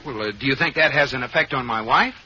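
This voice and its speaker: An actor playing a worried, stammering banker husband in a 1940s radio comedy. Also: male voice, medium pitch, fast, sharp tone. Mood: flustered. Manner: stammering, flustered. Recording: dull, noisy old radio broadcast with audience.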